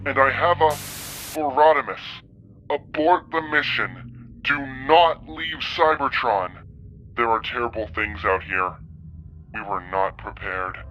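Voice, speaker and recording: A man acting an anxious robot sending a desperate, halting warning message in an animated sci-fi story. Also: mid-range voice, rough recording. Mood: anxious, urgent.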